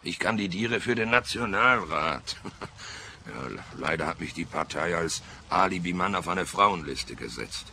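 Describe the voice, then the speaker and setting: An actor in a radio play playing a self-important, attention-hungry politician with a gravelly bass voice and a stammering, chuckling manner. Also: male voice, expressive, a little slow, pushed and bright, a little hiss or hum, full character voice. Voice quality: very deep, gravelly. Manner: chuckling, stammering, theatrical sighing.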